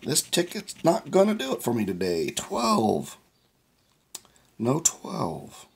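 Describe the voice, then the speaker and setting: Slow hoarse raspy man. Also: medium pitch, wildly swooping pitch. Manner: slow, plain, reads numbers aloud one by one. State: hoarse from losing his voice. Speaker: scratch-off ticket channel host. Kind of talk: casual vlog narration.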